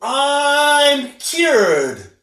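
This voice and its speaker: A man's voice: very high voice, sing-song, drawn-out words, sharp tone, echoey room, pitched above the performer's own voice, full character voice. A man voicing a stubborn, emotional young schoolgirl in a storybook read-aloud. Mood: insistent.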